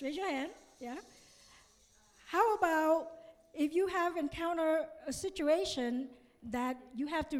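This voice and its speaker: A woman's voice: sits high, darkish tone. A woman in her fifties from Vietnam, a native Vietnamese speaker with a Vietnamese accent, giving an earnest motivational speech and addressing her audience directly. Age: 50s. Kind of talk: motivational conference speech with personal story. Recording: reverberant large hall, stage PA.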